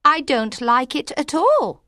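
A curious little elephant calf speaks in a high, squeaky, wide-eyed storybook voice that swoops as it talks, put on by a man reading aloud. The sound is close and dry. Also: big pitch swings, slow, pushed and bright, dry recording, full character voice. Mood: indignant.

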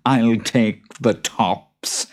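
A man voicing a furious, shrieking male boggart from a storybook, with drawn-out yells. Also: mid-range voice, fairly even intonation, pitched above the performer's own voice. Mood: sly.

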